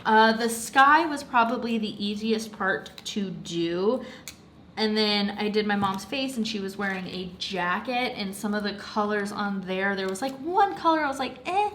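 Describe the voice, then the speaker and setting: A woman's voice: mid-range voice, expressive, measured pace, a bit bright, slight background noise. A young woman chatting casually about a crafting project at home, slightly congested and rambling with lots of fillers. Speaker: craft vlogger. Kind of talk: casual chat.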